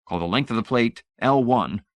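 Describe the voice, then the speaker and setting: A man's voice: medium pitch, lively intonation, moderate pace, dry recording, voice pushed up, close to an everyday voice. A man voicing a blunt, skeptical male electronics expert who grows exasperated. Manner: blunt, irritated and challenging. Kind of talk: audiobook character voice.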